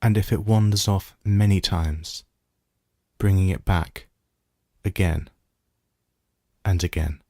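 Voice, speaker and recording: A man guiding a body scan meditation as a teacher, giving gentle, measured instructions with pauses. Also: low voice, no echo, clean studio sound.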